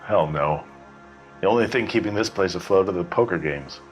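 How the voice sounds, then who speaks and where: A man's voice: deep voice, moderate pace, soft background music, lightly acted. A man playing a guarded, working-class bar manager in a 1940s crime game, with a dry, offhand, evasive manner. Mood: offhand, resigned. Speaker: bartender. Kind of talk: scripted video game dialogue, 1940s crime drama.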